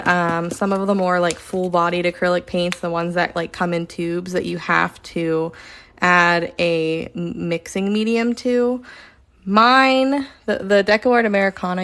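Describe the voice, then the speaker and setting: A woman casually chatting during a live stream while she paints, rambling with lots of fillers and sometimes talking softly. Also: fairly low pitch, gravelly, slight background noise. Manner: says she is relaxed, talks softly at times.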